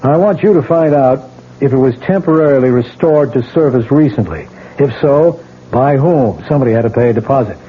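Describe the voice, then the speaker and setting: A man's voice: mid-range voice, animated, a little fast, a little hiss or hum, close to an everyday voice. An actor playing a skeptical, probing male police lieutenant who questions a witness and gives firm orders, in a 1970s radio drama. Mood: commanding. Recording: tinny lo-fi old radio broadcast with music.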